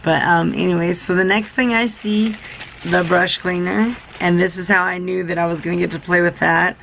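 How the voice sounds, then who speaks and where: A woman's voice: lowish voice, fairly even intonation, normal speed, creaky, fairly bright tone, slight background noise, poor audio. A young woman vlogger chatting through a beauty box unboxing, rambling and enthusiastic, a little sick and losing her voice. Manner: rambling, self-interrupting, enthusiastic.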